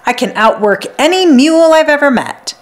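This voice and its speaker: A woman reader plays a kindly village healer woman in a children's storybook. She is hearty and plain-spoken, with brisk lines that swing in pitch. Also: expressive.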